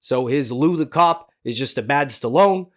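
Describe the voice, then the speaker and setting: A man's voice: medium pitch, expressive, normal speed. A man hosting a tutorial in a chatty, self-deprecating way, like a working voice actor talking to viewers. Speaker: video host and voice actor. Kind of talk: casual scripted tutorial to camera.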